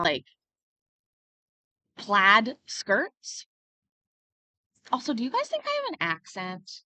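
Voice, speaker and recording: A woman's voice: medium pitch, sharp tone, no echo. Chatty woman on a casual livestream. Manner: chatty, reads and answers chat comments. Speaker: livestream host.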